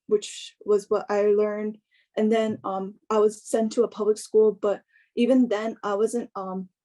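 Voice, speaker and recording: A young woman giving an informal personal talk in a hesitant, halting way, often filling in with "like". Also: medium pitch, warm tone. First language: English. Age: young adult.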